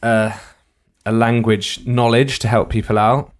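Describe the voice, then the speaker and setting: A young man from the UK, co-hosting a podcast in casual, animated chat. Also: mid-range voice, a little slow. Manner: chatty, animated, bursts of laughter. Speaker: podcast co-host.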